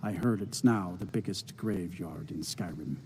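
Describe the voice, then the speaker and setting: An actor playing a modest, plain-spoken farmer in a fantasy video game, talking in a matter-of-fact way with music behind him. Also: male voice, deep voice, moderate pace, dark tone, slightly rough. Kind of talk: video game character dialogue.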